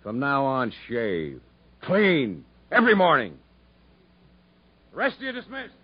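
An actor playing a stern, blunt prison camp captain in a 1950s radio drama, commanding and clipped. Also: male voice, mid-range voice, huge pitch swings, measured pace. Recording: dull 1950s radio broadcast recording.

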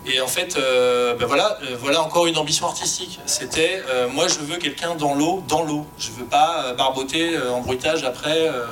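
Guy on a panel explaining tech stuff off the cuff, highish voice, bit of rumble. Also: normal speed, hardly any pauses, a bit bright. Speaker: sound fiction creator on an expert panel. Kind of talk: spontaneous technical explanation to a panel audience. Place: panel discussion before an audience. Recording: faint rumbling background noise.

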